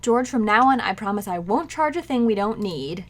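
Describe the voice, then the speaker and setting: A woman's voice: medium pitch, lively intonation, moderate pace, heavy background noise, lightly acted. A woman playing a cheerful, scatterbrained young housewife in 1940s-style radio comedy, with a breezy, innocently matter-of-fact manner.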